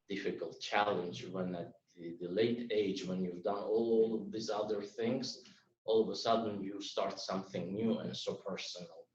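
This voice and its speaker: Earnest Armenian-born man, fifties, lowish voice; echoey, dull video call sound. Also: recorded from a distance. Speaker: club member giving a speech. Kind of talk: personal speech at a club meeting. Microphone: video call mic.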